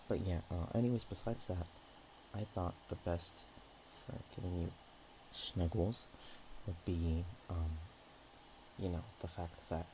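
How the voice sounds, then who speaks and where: A man playing a naive, nervous, affectionate dragon in a roleplay ASMR, talking in a hesitant, rambling, halting way. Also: sits low, noisy background. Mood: bashful, rambling.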